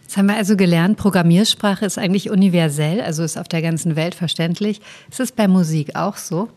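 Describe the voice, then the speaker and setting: A woman's voice: fairly low pitch, a little fast, dark tone. Woman moderator asking direct questions. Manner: asks short, direct questions. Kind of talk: moderating a panel discussion.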